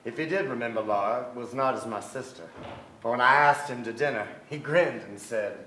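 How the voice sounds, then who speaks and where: A man's voice: medium pitch, a little fast, bright, forceful tone, natural voice. An actor playing a brooding, restless son who recalls his family in a theatrical staged reading. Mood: wry, remembering. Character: brooding, restless adult son, narrator of memories. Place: theatre stage before an audience. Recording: echoey theatre stage, some noise.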